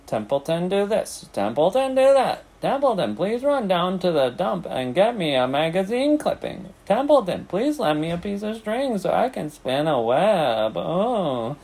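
A man voicing a grumbling, sarcastic, self-pitying rat in an animated children's storybook reading, mocking and theatrically aggrieved. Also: a little high, a little slow, voice pushed up.

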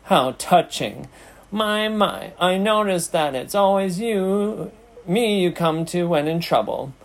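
A man voicing a grumbling, sarcastic, self-pitying rat in an animated children's storybook reading, mocking and theatrically aggrieved. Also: a little high, unhurried, voice pushed up. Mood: mocking, boastful.

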